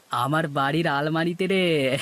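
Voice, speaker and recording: A man's voice: a little high, fairly bright tone. A man voicing a cocky, scheming village market trader in a cartoon, bossy and teasing, with noise behind him. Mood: smug. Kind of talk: cartoon dialogue.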